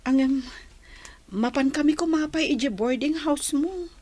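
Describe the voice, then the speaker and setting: A woman's voice: high voice, measured pace, darkish tone. A woman playing a young, troubled mother in a radio drama, hesitant and plaintive.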